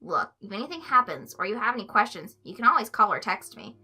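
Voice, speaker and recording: A woman voicing a calm, deadpan teenage boy who talks in a flat, matter-of-fact way in a story reading. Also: medium pitch, quick pace, breathy edge, soft background music, exaggerated voice. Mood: reassuring.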